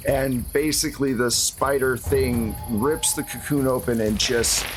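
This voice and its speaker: A man's voice: mid-range voice, fairly even intonation, a little slow, faint music behind. A man narrating a tabletop horror roleplaying game as game master, in a casual, conversational way.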